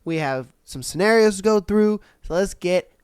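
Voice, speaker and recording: A man hosts his podcast, his voice slightly nasal as he recovers from an illness. He sits on the high side and stays smooth and clear, recorded close and dry with no room sound. He reacts in a loose, slangy, often profane way. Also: lively intonation, moderate pace, dry recording. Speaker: podcast host. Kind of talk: casual commentary on read-out posts.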